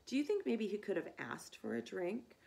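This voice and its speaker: A woman storyteller reading a children's story aloud in an expressive, deliberate way, with teacherly asides. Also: medium pitch, gravelly.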